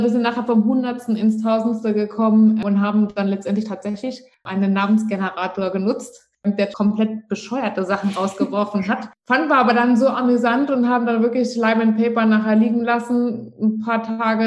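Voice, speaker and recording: A woman in her thirties, an interview guest, tells her story easily and adds dry asides. Her voice is a little high and comes through roomy and dull, like a lo-fi recording. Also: flat delivery, fairly quick, hardly any pauses, darkish tone. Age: 30s. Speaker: podcast guest, co-founder. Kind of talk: casual interview talk.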